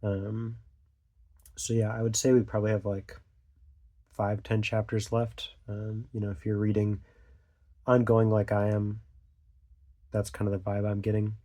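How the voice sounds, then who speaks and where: A man's voice: fairly low pitch, completely flat delivery, measured pace, pauses often, mellow. A young man hosting a casual unscripted vlog, a little stuffy from a cold, talking hesitantly with lots of fillers and half-finished thoughts. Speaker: channel host.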